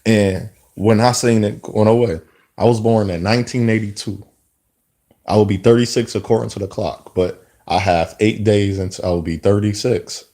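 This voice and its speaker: A man's voice: lowish voice, fairly even intonation, normal speed, dark tone. A man in his mid-thirties talking to camera in a rambling, reflective monologue, often restarting his sentences. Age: 30s. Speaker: vlogger. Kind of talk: casual spoken monologue.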